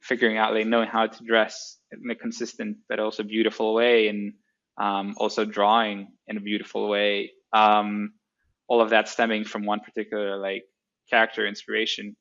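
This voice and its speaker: A man from Greece with a low voice, heard through dull, muffled lo-fi audio. He answers as an interview guest, hesitant and casual, restarting sentences often. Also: monotone, moderate pace, gravelly, a bit bright. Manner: hesitant, many restarts and fillers. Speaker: guest, startup co-founder.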